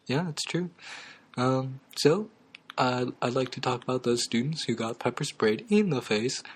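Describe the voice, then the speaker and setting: Picture a young man with a mid-pitched, ordinary voice, hosting a mock talk show. He stumbles through every sentence with ums and uhs, keeping a straight face however absurd things get. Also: animated, slightly rough. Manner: halting, full of ums and uhs. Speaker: comedy talk show host. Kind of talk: absurdist deadpan comedy chat.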